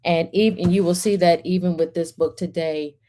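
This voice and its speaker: A woman hosting a storytime as a reverend, speaking in measured short phrases as she welcomes, guides and reads aloud. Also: fairly low pitch, steady tone, warm tone, slight background noise. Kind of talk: gentle guided centering and picture book read-aloud.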